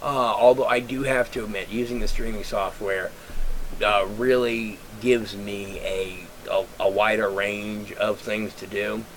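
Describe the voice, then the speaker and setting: A man with a raspy, congested voice, talking casually into his webcam and rambling through ideas with many fillers and restarts. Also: lowish voice, fairly even intonation, a little slow, slight background noise, rough recording. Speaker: vlogger.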